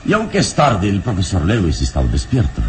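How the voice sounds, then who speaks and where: An actor playing a calm, commanding heroic adventurer in an old radio serial. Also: male voice, sits low, brisk. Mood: calm, encouraging. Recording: very noisy old radio drama recording.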